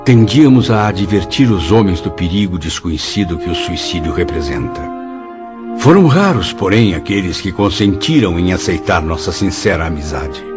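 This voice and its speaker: An anguished, proud male spirit in a radio play. His lowish voice swings dramatically across its range, formal and full of feeling. The sound is dull and lo-fi, with loud music underneath. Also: expressive, moderate pace. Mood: earnest. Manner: theatrical, formal, emotional.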